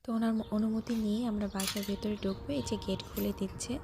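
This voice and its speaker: A woman narrates a casual vlog in an even, little-varying tone. Her pitch is medium and she describes each action as it happens, often repeating phrases. There is faint noise behind her. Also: quick pace. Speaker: vlogger.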